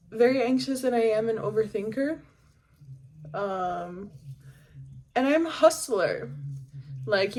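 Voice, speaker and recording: A woman's voice: a little high, a little mellow. A twenty-year-old woman from the Caribbean talking casually and candidly in a personal vlog, rambling and stressing her points. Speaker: vlogger.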